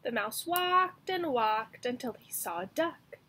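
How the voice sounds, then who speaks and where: A woman's voice: a little high, very animated, takes it slowly, background noise. A young woman teacher reading a storybook and giving a lesson to children. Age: young adult. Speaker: elementary school teacher.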